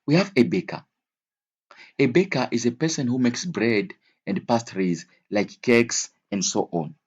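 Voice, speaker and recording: A man's voice: medium pitch, lively intonation, measured pace, slightly rough. A man teaching an English vocabulary lesson in a didactic way, repeating key words and switching between languages.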